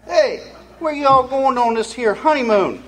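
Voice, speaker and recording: An actor playing a folksy small-town taxi driver with a drawl, in a live amateur radio drama. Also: male voice, extremely high pitch, animated, a little slow, a bit bright, heavily acted, poor audio. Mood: curious.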